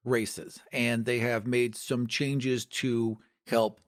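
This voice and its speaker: A man's voice: medium pitch, flat delivery, extremely slow. A man hosting a casual tabletop gaming discussion, emphatic and given to rhetorical questions. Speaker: podcast host.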